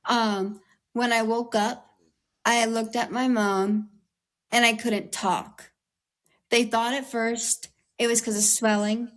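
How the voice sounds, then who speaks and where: A young American woman, a guest in an interview, speaking candidly in an earnest, conversational way with a slightly raspy voice and many "like"s and "um"s. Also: fairly high pitch, flat delivery, slow. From United States. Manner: earnest, heavy use of "like" and "um".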